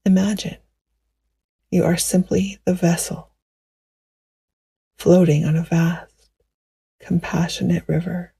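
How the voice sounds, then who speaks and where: A woman guiding a meditation in a gentle, hushed, poetic style, with a soft, breathy voice that often nears a whisper. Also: on the low side, flat delivery, no room sound. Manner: gentle, hushed, unhurried, soothing. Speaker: meditation guide. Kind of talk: slow poetic guided meditation narration.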